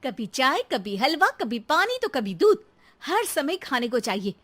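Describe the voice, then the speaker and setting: Casting: female storyteller for scripted tales, high voice with very varied intonation. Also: very animated, unhurried, a bit bright. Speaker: narrator.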